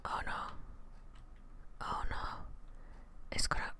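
A young woman giving hushed, whispered ASMR commentary on a video game in short murmured reactions, close to the microphone. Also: takes it slowly. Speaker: gaming streamer. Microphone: microphone close in front, with headset.

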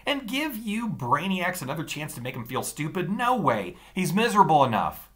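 A man's voice: fairly high pitch, wildly swooping pitch, moderate pace, fairly bright tone, pitched above the performer's own voice. A man reading an audiobook, voicing a snide, indignant teenage boy with a mocking, sneering manner.

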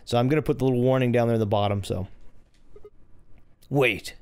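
Low-voiced man rambling through a game. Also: fairly even intonation, racing, mellow, clear, resonant tone, dry recording. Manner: rambling, self-correcting, chatty. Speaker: gaming channel host. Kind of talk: casual let's-play commentary.

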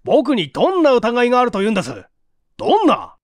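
A man's voice: very high voice, wildly swooping pitch, a little fast, dry recording, pitched above the performer's own voice, full character voice. A man voicing an indignant, agitated male secretary under suspicion in a mystery story. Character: indignant young male secretary accused of murder.

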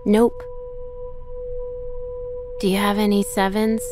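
Woman acting soft, wistful teenage girl. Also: mid-range voice, flat delivery, slow, noisy background, natural voice. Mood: wistful, subdued. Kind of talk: quiet video game dialogue.

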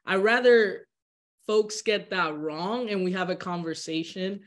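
An earnest young woman from the Dominican Republic talks on a video call from her living room. She speaks candidly and at a middle pitch, often repeating words as she gathers her thoughts. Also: a little slow. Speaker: guest, social entrepreneur. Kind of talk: candid interview conversation.

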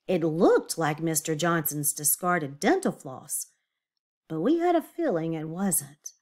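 A woman's voice: low-pitched, expressive, unhurried, a little mellow. A woman reading a picture book aloud to young children, expressively, with emphatic words and confiding asides and questions. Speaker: children's read-aloud teacher.

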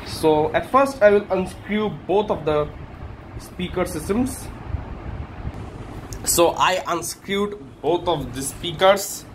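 A man hosting a hands-on tech teardown, speaking in short phrases with halting pauses. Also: high-pitched, slight background noise, low-quality recording. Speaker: tech video host. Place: small indoor workshop room.

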